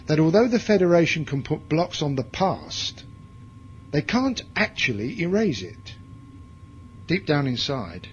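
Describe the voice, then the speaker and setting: A man's voice: on the high side, dull audio, slight background noise. An actor playing a cold, cruel, commanding male space commander in a science fiction audio drama, with contempt in his delivery. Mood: sinister taunting.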